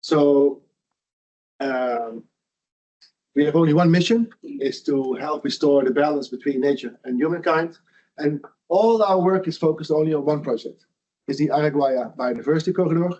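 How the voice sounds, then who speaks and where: A man's voice: fairly high pitch, moderate pace, mellow. Dutch man giving an earnest lecture. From Netherlands. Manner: earnest, conversational, with frequent restarts. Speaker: foundation founder giving a lecture. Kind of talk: lunch lecture presentation. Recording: dull lo-fi sound.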